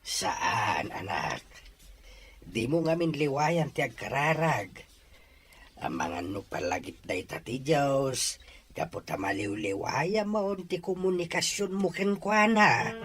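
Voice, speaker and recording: A man's voice: on the high side, animated, takes it slowly, fairly bright tone, slight background noise, heavily acted. An actor playing a caring, devout older father, soothing and concerned, in an Ilocano radio drama.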